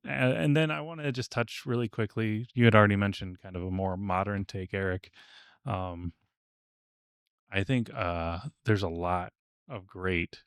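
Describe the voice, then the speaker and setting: Male podcast co-host, low clean resonant voice, conversational round-table style. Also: clean tone, dry recording, clean studio sound.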